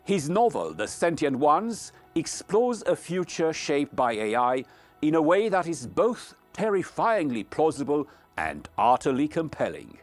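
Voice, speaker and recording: A male podcast host speaks earnestly with a lively, swooping pitch and an unhurried pace. He stresses his words with care, and music plays faintly behind him. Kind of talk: scripted podcast introduction and interview.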